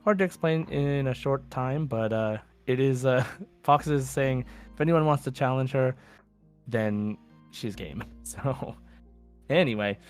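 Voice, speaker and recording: A man's voice: mid-range voice, a little slow, clear, resonant tone, faint music behind. A man hosting a podcast in casual chat, reading out listener messages with informal, goofy asides. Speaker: podcast host.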